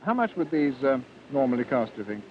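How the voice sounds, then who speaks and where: Polite reporter, muffled noisy newsreel recording. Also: male voice, mid-range voice, big pitch swings, quick pace. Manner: polite, hesitant. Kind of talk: on-the-spot vox pop interviewing. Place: crowded department store sales floor. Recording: muffled 1950s newsreel, clear background noise.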